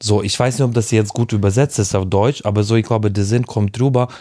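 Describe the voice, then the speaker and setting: A man talks as a guest on a podcast, his voice low and mellow. He is thoughtful and restarts often while he searches for the right words. The recording is dry, with no room sound. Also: fairly even intonation, fairly quick, dry recording. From Ukraine. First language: Russian. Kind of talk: casual conversation.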